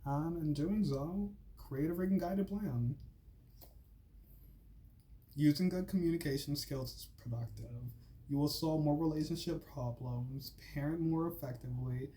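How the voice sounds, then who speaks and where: A man's voice: mid-range voice, mellow, slight background noise, rough recording. A man talking casually to his viewers and then reading a school assignment aloud, full of fillers and stumbles. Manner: filler-heavy, says "like" and "freaking" often, stumbles and restarts while reading. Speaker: channel host.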